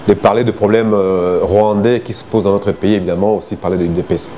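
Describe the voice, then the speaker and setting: A man from Congo speaking as a guest in a political debate, explaining his views in a measured way with many hesitations. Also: on the low side, steady tone, noisy background. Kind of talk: political debate in French and Lingala.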